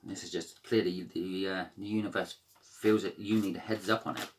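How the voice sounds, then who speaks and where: Deep-voiced, croaky man reading tarot. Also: fairly even intonation, moderate pace, a little hiss or hum. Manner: rambling, hesitant, many fillers and restarts. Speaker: tarot reader. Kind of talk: casual tarot card reading.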